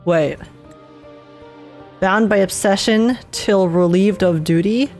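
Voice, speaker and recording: A young woman livestreamer giving casual, expressive, gushing reactions to a game as she plays. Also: medium pitch, takes it slowly. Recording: game music behind the voice. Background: game music.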